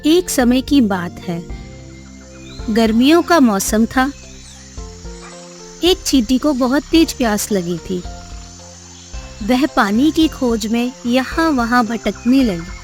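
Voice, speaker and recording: A woman's voice: on the high side, animated, slow pace, long pauses, a little mellow, soft background music. A woman narrating a scripted moral story for young children.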